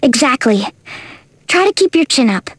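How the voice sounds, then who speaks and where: A woman's voice: high-pitched, sing-song, moderate pace, husky, dry recording, full character voice. A woman playing a level-headed young adventurer in a game, wry and teasing in her delivery. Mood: reassuring.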